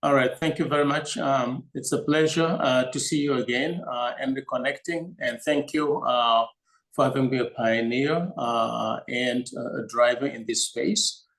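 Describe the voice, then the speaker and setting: A man, an organization's executive chairperson, giving opening remarks at an online meeting, halting often with fillers. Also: medium pitch, a bit bright, poor audio.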